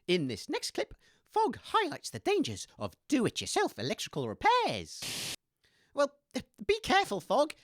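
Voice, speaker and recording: Woman voicing an excitable, swooping, chirpy radio DJ. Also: high voice, normal speed, no room sound, clean studio sound, full character voice. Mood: jolly presenting. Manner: hesitant, giggly, theatrical. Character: excitable, chirpy, oblivious radio DJ named Dave. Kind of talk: comedy audio drama, mock radio presenting.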